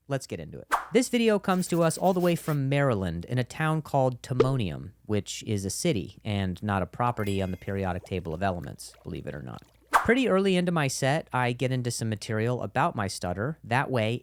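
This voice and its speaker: A man's voice: mid-range voice, brisk, background noise. A man in his thirties with a stutter, a stand-up comedian talking to the crowd and narrating his video. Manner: animated, exasperated, escalating outbursts.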